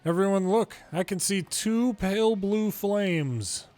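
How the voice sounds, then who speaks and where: A man's voice: sits high, slow pace, a little mellow, soft background music. A man reading video game dialogue aloud dramatically, acting out each character's lines in turn.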